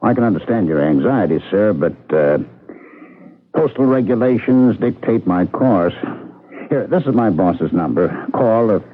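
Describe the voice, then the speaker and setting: A man's voice: lowish voice, expressive, measured pace. An actor playing an earnest, persistent mailman, polite and conversational with some hesitation, in a radio drama. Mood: polite, dutiful. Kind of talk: 1970s radio drama acting. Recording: muffled old radio broadcast with hiss.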